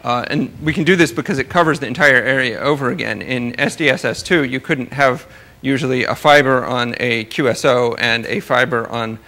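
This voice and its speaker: A male scientist lecturing informally, with a creaky, crisp-edged voice at a middling pitch. He qualifies his points carefully and drops in dry, wry asides as he explains. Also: normal speed, hardly any pauses, bright, forceful tone. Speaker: astronomer giving a research talk.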